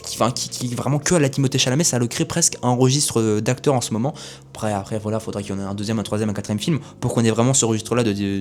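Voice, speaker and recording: A man's voice: medium pitch, a little mellow. A young man giving a casual, rambling film review, full of fillers and restarts. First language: French.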